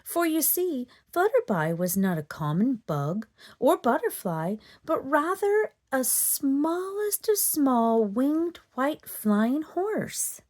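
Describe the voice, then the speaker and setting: A woman storyteller narrating a children's book in an expressive, dramatic read-aloud manner. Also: high-pitched, slow. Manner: dramatic, animated delivery for children.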